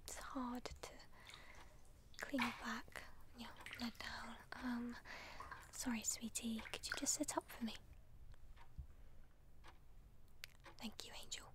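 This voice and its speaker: A woman's voice: on the high side, fairly even intonation, natural voice. Whispering British woman acting an obsessive lovesick captor. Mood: doting, possessive. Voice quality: breathy whisper. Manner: halting, cooing endearments, emotionally fragile. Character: obsessive lovesick female captor, tender, volatile, doting on captive. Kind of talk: intimate whispered ASMR roleplay.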